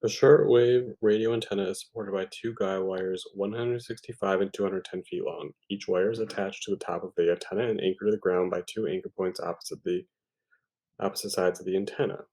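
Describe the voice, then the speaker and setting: Low, gravelly male math tutor. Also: fairly even intonation, fairly quick, mellow, rough recording. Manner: informal, thinking aloud with hesitations and self-corrections. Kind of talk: step-by-step problem walkthrough.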